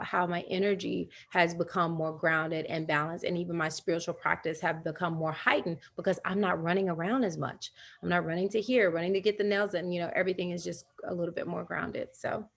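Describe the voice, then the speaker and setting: A woman leading a live virtual wellness workshop from home over a video call, talking in a chatty, personal way and laughing often. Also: on the low side.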